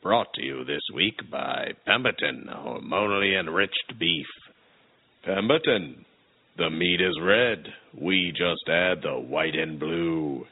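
Man doing a deep, husky radio serial announcer. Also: slow pace, narrow, tinny audio, heavily acted. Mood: solemn salesmanship. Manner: theatrical, tongue-in-cheek grandiose delivery. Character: hammy old-time radio serial announcer, mock-dramatic and pompous. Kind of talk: old radio drama narration and sponsor spot.

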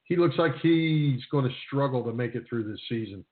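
A man's voice: medium pitch, fairly quick. A man co-hosting a sports radio show in a casual, conversational style. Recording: narrow phone-like radio sound.

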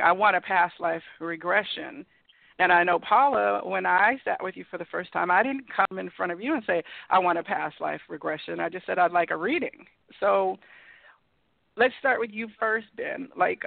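A woman's voice: on the low side, gravelly, no echo. A woman hosting a talk show, asking long, thoughtful, rambling questions with many fillers. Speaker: host. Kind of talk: interviewing guests on a call-in show. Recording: tinny phone line.

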